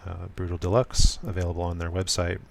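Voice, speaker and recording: A man with a low, flat voice walks through his code in a relaxed live stream, speaking softly and smoothly while correcting himself now and then. Faint keyboard typing and clicking sit behind him. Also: a little hiss or hum. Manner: soft, deliberately smooth and low-key, with frequent ums and self-corrections. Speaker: programmer streaming a live coding session. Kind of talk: casual live-coding walkthrough.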